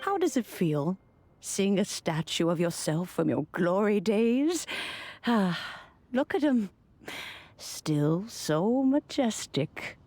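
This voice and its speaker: Slow, stately ancient immortal woman. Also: medium pitch, lively intonation, darkish tone. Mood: fond, reverent. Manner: stately, measured, storytelling delivery. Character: dignified ancient immortal adeptus woman, solemn and nostalgic. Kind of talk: scripted video game storytelling. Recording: music under the voice. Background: background music.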